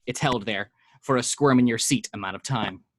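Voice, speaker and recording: A man's voice: mid-range voice, a bit bright, no room sound. Man reading screenplay action lines. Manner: vivid, measured description. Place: at home.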